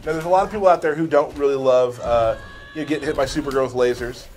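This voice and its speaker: A man's voice: mid-range voice, fast, darkish tone. A man doing casual gameplay commentary with teasing banter. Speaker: game stream host and commentator.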